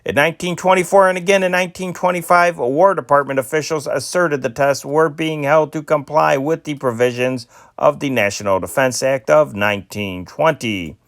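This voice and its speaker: A man's voice: a little high, normal speed, fairly bright tone, dry recording, studio quality. A man reading old newspaper stories aloud in an amateur style, sometimes stumbling and restarting words. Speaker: news reader.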